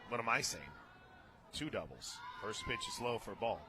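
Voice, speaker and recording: An American man doing live radio play-by-play of softball, conversational, with wry asides between calls. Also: lowish voice, big pitch swings, normal speed, crowd noise. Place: radio booth at a ballpark. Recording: noisy outdoor ballpark broadcast.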